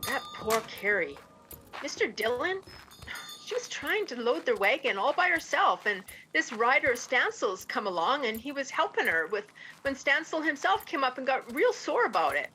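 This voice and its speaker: Woman playing Chester, high, lively western sidekick. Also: moderate pace, exaggerated voice, low-quality recording. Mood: indignant. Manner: folksy, excitable. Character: folksy, eager, gossipy marshal's sidekick Chester. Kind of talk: amateur western radio play acting. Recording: student radio play with music behind.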